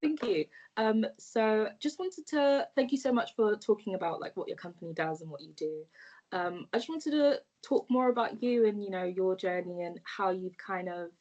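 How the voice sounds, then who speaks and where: A woman's voice: medium pitch, moderate pace, darkish tone. A woman hosting a casual interview, asking questions in a chatty way full of fillers like um and you know. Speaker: interview host. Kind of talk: casual fireside chat interviewing. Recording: dull lo-fi audio.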